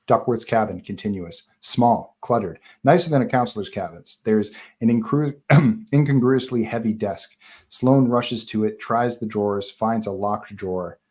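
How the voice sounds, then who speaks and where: A man's voice: fairly low pitch, moderate pace, darkish tone. A man narrating stage directions at a live table read of a horror screenplay, in scripted narration style.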